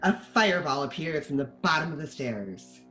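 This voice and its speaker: A woman's voice: sits low, expressive, moderate pace, fairly bright tone, soft background music. A woman narrator reading the stage directions of a screenplay in scripted narration.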